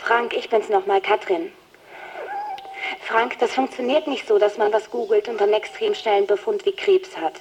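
A woman's voice: mid-range voice, steady tone, moderate pace, a little mellow, natural voice, low-quality recording. An actress playing a concerned, insistent woman in a radio drama, earnest and explanatory as she pleads with a friend. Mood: concerned, pleading.

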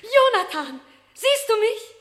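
A woman's voice: very high-pitched, sing-song, slow, fairly bright tone, heavily acted. An actress playing an overwrought, hysterical mother in a German radio play. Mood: distraught. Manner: hysterical, emphatic. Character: distraught, overwrought mother crying out for her son.

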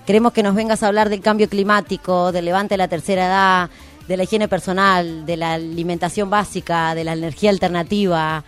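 A woman's voice: lowish voice, fairly even intonation, fairly quick, clear, resonant tone, soft background music. An Argentine woman hosting a casual live radio show, talking in a playful, informal way.

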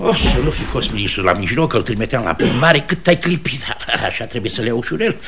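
Pompous, swooping small-town mayor. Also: male voice, medium pitch, very animated, fairly bright tone, a little hiss or hum. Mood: irritated, bustling. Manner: ceremonious, officious, long-winded. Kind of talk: theatrical radio comedy acting.

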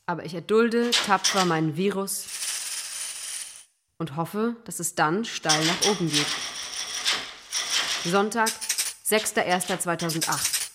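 An actress playing a sick, stoic amateur runner in an experimental radio play, reading diary entries in deliberate, repeated fragments. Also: female voice, on the low side, heavy background noise.

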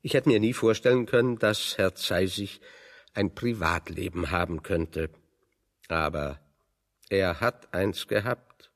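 An actor playing a wry, pedantic intellectual exile in German radio drama, explaining things with irony. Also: male voice, low-pitched, measured pace, long reverb tail. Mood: wry, anecdotal. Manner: pedantic, ironic, expository. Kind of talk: German radio play dialogue.

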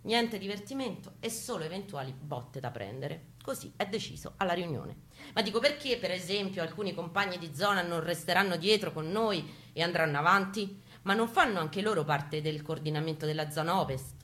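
A woman with a Roman Italian accent gives an expressive, irreverent, streetwise first-person theatrical reading in colloquial, slangy language. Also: lowish voice, fairly quick. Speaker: performer of a dramatic reading. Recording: voice over prominent acoustic guitar music. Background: live acoustic guitar accompaniment.

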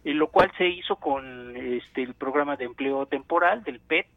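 A man, an archaeologist guest, explaining in a measured way and pausing often with fillers while he finds his words. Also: mid-range voice, dry recording. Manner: measured, thinking aloud with many fillers. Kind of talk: explanatory phone interview. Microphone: telephone. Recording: tinny dry phone line.

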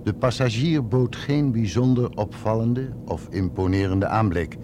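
A man's voice: fairly low pitch, unhurried, background noise. A man reading scripted narration for a radio drama.

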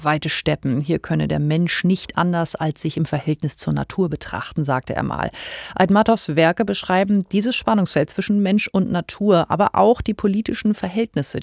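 A woman's voice: deep voice, gravelly, darkish tone, no echo. A woman hosting a scripted cultural radio programme, recorded close in a studio. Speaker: radio host.

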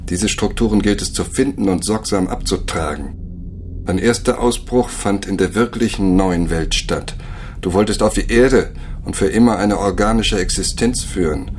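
An actor playing a cold, patient overseer scientist of a virtual world in a German radio drama, lecturing in a measured, condescending way. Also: male voice, lowish voice, slight background noise. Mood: coldly explanatory.

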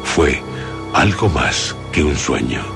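A middle-aged man with a Castilian Spanish accent, hosting a radio drama in a solemn, deliberate, phrase-by-phrase narration over music. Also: a bass voice, lively intonation. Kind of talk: scripted introduction and narration.

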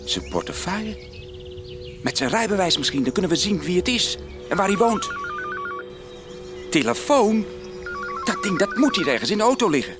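A man's voice: high-pitched, sing-song, moderate pace, long pauses, music in the background. A male actor in a Dutch radio play playing a level-headed teenage boy detective. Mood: excited.

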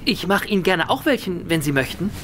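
Polite, eager servant, bouncy delivery, noisy recording. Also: male voice, high voice, big pitch swings, brisk. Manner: deferential, eager to please. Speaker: manservant. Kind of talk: radio play acting. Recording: noisy radio play recording.

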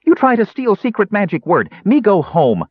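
Bossy little female gully dwarf for a fantasy story, high and shrieky, broken grammar; played by a man. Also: huge pitch swings, normal speed, no echo, phone-quality sound, heavily acted. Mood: outraged.

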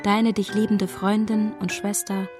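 An actress playing a gentle, devoted young woman with an earnest, composed manner in a radio drama. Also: mid-range voice, level tone, measured pace, over music, lightly acted. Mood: affectionate. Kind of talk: German radio drama dialogue.

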